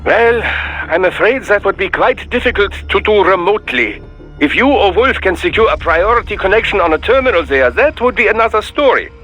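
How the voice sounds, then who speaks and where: Polite egghead doctor from a video game, high sharp voice, very formal, music behind. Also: male voice, very animated, a little fast, heavily acted, poor audio. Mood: polite, pragmatic. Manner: formal, precise, wordy. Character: erudite male scientist doctor, polite, dryly pragmatic.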